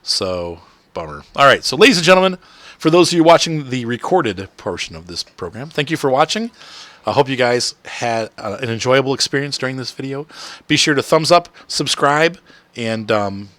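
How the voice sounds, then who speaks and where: A man in his thirties chats his way through a driving game from home, rambling with plenty of ums and soft laughs. His voice has a bright, tense edge and a faintly crackly roughness, sitting at an ordinary pitch for a man. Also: lively intonation, moderate pace, no room sound. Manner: rambling, chatty, with frequent fillers and soft laughs. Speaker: gaming channel host. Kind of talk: casual live-stream gameplay commentary.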